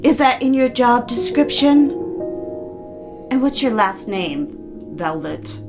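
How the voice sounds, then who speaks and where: A woman's voice: fairly high pitch, sing-song, slow pace, over music, heavily acted. An actress playing a proud, bitter young married woman who is drunk, haughty and sarcastic, in a period audio drama. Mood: defiant, mocking. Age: young adult.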